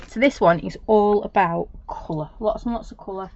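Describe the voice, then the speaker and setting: A woman with a slightly croaky voice, chattily talking viewers through a live craft demonstration. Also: mid-range voice, moderate pace, darkish tone. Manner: chatty, talks viewers through each step. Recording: dull lo-fi stream with noise.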